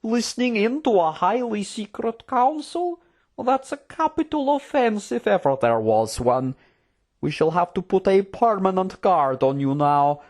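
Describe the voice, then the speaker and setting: Fantasy audiobook courtier, played by a narrator: oily, formal, verbose schemer, raised pitch. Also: very animated, measured pace, a little mellow. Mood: indignant, apologetic. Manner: unctuous, formal, wordy. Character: scheming, smooth-tongued Trook courtier, obsequious and cutting.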